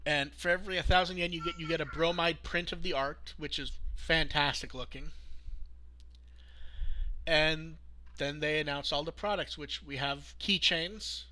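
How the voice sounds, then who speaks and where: A man with a fairly high, very bright and forward voice hosts a podcast. He chats casually as he goes through news items. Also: fairly even intonation, moderate pace. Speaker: podcast host.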